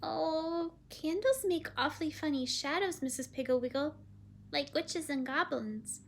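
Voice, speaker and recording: A woman voicing a timid, easily frightened little girl in a children's story, with shrill, anxious exclamations. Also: unhurried, creaky, slight background noise. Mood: curious.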